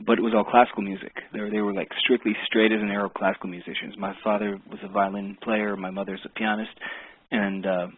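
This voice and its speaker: A man's voice: fairly low pitch, flat delivery, fast talker, husky. A man in his forties with an American accent, a musician guest telling his story in a friendly, rambling way over the phone. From Soviet Union, raised in Ohio. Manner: rambling, friendly, with light laughs. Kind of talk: phone interview. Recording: tinny, dry phone line.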